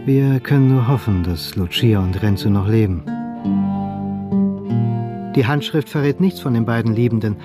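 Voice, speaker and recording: A male narrator tells a radio drama in a mid-pitched voice. He is measured and expressive, pausing deliberately, with loud music playing behind him.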